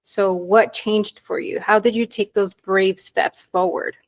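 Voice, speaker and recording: A woman's voice: mid-range voice, steady tone, unhurried. A woman hosting a podcast interview, asking earnest, encouraging questions. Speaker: podcast host and coach. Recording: loud guitar music behind voice. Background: guitar music.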